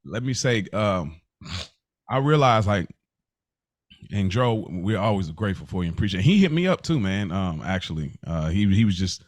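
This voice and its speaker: A man's voice: sits low, big pitch swings, moderate pace, no echo. An American man co-hosting a podcast in casual, informal and reflective conversation. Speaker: podcast co-host.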